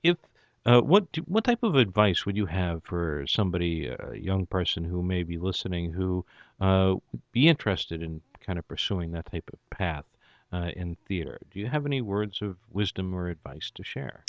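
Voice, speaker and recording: A man hosting an interview, asking questions haltingly with many restarts and fillers. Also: deep voice, very animated, no echo. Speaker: radio interviewer. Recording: dull lo-fi dry sound.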